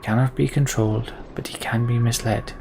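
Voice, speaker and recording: A man voicing a calm, cryptic, commanding woman leader from a fantasy game, speaking in a hushed, measured, breathy way. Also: medium pitch, slight background noise, natural voice, low-quality recording. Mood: solemn, calm. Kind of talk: reading game dialogue aloud.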